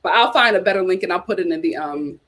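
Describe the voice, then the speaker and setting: A woman hosting a casual chat, giving sassy, animated commentary with slang and answering live chat comments. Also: on the low side, fast talker. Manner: uses casual slang like "child" and "y'all". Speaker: host.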